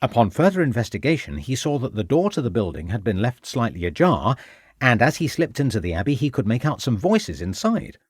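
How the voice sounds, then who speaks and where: A man's voice: mid-range voice, big pitch swings, a little fast, husky, no echo, studio quality. A man voicing a young, red-faced village constable who reports earnestly and deferentially.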